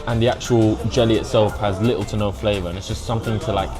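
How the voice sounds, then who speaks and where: A man's voice: lowish voice, steady tone, quick pace, music in the background. A young British man talking casually and informally as a food vlogger, now and then dropping Mandarin phrases into his English. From England. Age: young adult. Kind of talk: casual food review vlog.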